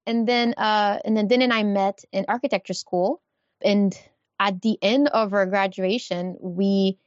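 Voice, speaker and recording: A woman's voice: mid-range voice, a little slow, no room sound. Woman from Haiti on a dull line. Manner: relaxed and reflective, laughs easily. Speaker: guest. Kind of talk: conversational interview. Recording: dull dry remote line.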